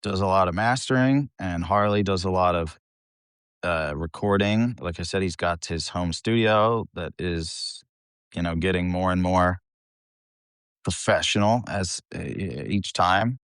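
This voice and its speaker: Low voiced guy from the us, band singer rambling casually in an interview, self-deprecating, dry sound. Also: measured pace, a bit bright, clear, resonant tone, no room sound. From United States. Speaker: metal band vocalist, interview guest.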